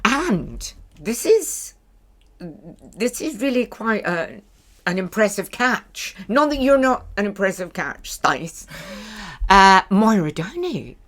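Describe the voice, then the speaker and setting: A woman's voice: medium pitch, very animated, slow, fairly bright tone. An older woman, hosting a chatty podcast interview in a blunt, wry manner. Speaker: podcast host. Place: small stage in a bar venue.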